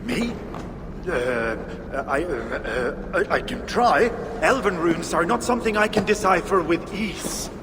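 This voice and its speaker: An actor playing a humble, nervous dwarf miner in a video game, hesitant, stammering and deferential. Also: male voice, sits high, lively intonation, unhurried. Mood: flustered. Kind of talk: dramatic game voice acting. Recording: reverberant cavern, noisy ambience.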